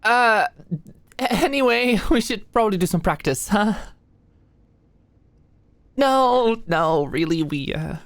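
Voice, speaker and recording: A woman's voice: mid-range voice, lively intonation, takes it slowly, long pauses, dry recording. A woman playing an anxious, flustered young medical student in an intimate ASMR roleplay, with a hesitant, stammering, playfully defensive way of talking. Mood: shy, pleased. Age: young adult. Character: anxious, flustered, endearing short female medical student study buddy.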